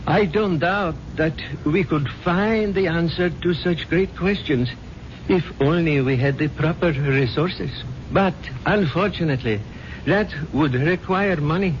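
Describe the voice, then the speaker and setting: An actor playing a suave spiritual leader who talks in a measured, courteous, persuasive way, in a 1970s radio drama. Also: male voice, on the high side, lightly acted. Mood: smooth, courteous. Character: suave male spiritual leader, smooth and soothing. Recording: muffled old radio broadcast with hiss.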